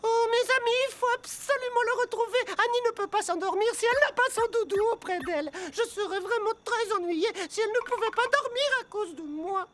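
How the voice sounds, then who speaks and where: A woman's voice: extremely high pitch, brisk, few pauses, a bit bright, a little hiss or hum. A woman voicing an earnest, anxious little talking bus, emotional and childlike, in a children's cartoon dub. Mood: distressed.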